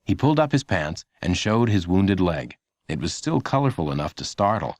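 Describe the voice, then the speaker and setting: A man's voice: low-pitched, very animated, normal speed, darkish tone, no echo, clean studio sound. A man reading a children's audiobook as a scripted story narrator.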